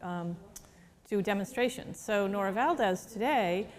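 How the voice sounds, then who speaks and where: A woman's voice: mid-range voice, measured pace. A woman from a museum gives an upbeat, welcoming interview. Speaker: museum representative. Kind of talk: interview, promotional.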